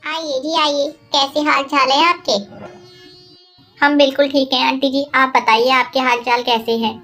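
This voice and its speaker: A woman playing a high-voiced, polite young neighbourhood woman. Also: level tone, measured pace, pushed and bright, faint music behind, full character voice. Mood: polite, chatty. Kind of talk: Hindi cartoon moral-story voice acting.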